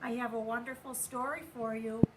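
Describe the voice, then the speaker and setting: A woman's voice: on the high side, fairly even intonation, fairly quick, heavy background noise. A woman reading a children's picture book aloud expressively, speaking directly to young listeners. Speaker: story reader.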